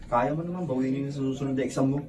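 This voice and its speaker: A man plays a pushy young Filipino college boyfriend, talking quickly. He is curt and insistent, in radio drama style. The voice sits away from the microphone. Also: mid-range voice, fairly even intonation, a little mellow, lightly acted. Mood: impatient, demanding. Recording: distant from the microphone.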